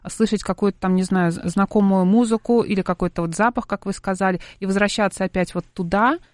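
A woman's voice: medium pitch, steady tone, fast talker, darkish tone, dry recording. A woman hosting an interview in a conversational style, asking questions and adding her own examples. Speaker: interviewer and host.

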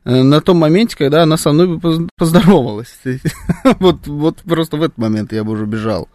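A man's voice: fairly high pitch, a little fast, a little mellow, dry recording, clean studio sound. A man co-hosting a live radio talk show, speaking hesitantly and wryly, with many fillers and restarts.

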